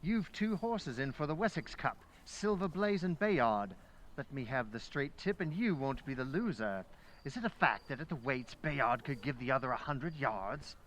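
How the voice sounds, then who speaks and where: A man's voice: medium pitch, lively intonation, normal speed, fairly bright tone, a little hiss or hum, natural voice. A man playing a pushy, wheedling stranger who trades in racing tips, in the style of old-time radio acting. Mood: pushy, insinuating. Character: smooth-talking, pushy male stranger, a bribing racing tout.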